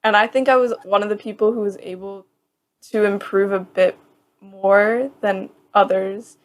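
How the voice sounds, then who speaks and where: A woman's voice: medium pitch, steady tone, normal speed. A young woman in her twenties co-hosting a casual podcast, talking in a chatty, rambling way full of "like.". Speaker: podcast co-host.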